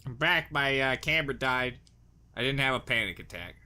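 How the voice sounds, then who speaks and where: A man's voice: mid-range voice, lively intonation, normal speed, pushed and bright. A man giving casual, jokey reaction commentary with exaggerated, dramatic exclamations. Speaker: reaction video host.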